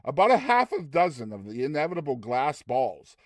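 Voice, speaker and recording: Animated male storyteller, dry sound. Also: a little high, fairly quick, no echo. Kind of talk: expressive dramatized story narration.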